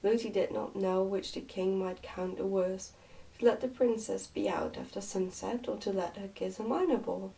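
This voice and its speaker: A woman reading a storybook aloud as soft ASMR narration. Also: medium pitch, moderate pace, vocal fry, far from the mic, rough recording. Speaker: ASMR reader.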